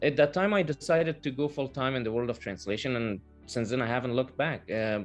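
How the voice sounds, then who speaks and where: Persian-native man, mid-pitched, measured and earnest, faint music underneath. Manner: measured, earnest, with filler pauses. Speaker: guest, translation business owner. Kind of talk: interview answers.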